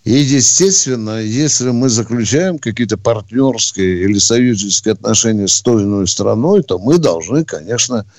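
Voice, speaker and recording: A man's voice: fairly low pitch, animated, normal speed, few pauses, darkish tone, no echo. A man co-hosting a call-in radio show on army questions, questioning callers in a dry, probing, sarcastic way. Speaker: radio co-host, retired colonel. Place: radio studio.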